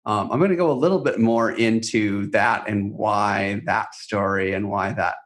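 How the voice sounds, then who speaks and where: A man with a fairly low voice speaks as a podcast co-host. He explains in a conversational, reflective way, building each point by restating and layering phrases. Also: normal speed.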